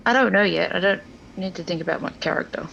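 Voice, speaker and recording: Girl gamer, very creaky voice, casual chat, faint noise behind her. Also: lowish voice, fast talker, a bit bright. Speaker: tabletop game player. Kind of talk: casual chat during a tabletop game. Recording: muffled audio with faint noise.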